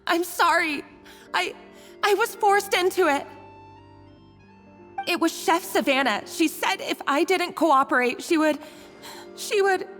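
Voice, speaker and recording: Timid young female cook, high voice, stammering, apologetic, game character, long reverb, music behind. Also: unhurried, long pauses, a bit bright. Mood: tearful, afraid. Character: timid, guilt-ridden young female kitchen worker. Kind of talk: English game voice acting.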